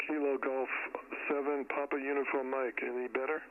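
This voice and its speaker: A man's voice: mid-range voice, little pitch movement, normal speed. An American man chatting casually as a ham radio operator, affable and fond of phonetic call signs. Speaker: amateur radio operator and YouTube channel host. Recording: tinny two-way radio audio, faint static.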